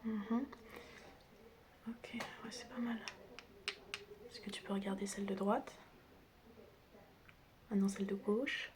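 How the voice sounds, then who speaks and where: A woman's voice: mid-range voice, fairly even intonation, slow pace, long pauses. Female ASMR role-player, mostly whispering, chatty and teasing best-friend delivery, recorded with noticeable noise and clicks behind her. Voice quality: breathy whisper mixed with soft speech. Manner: teasing, chatty, familiar, addressing the listener directly. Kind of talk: whispered ASMR roleplay, close personal attention. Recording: clear background noise with clicking sounds.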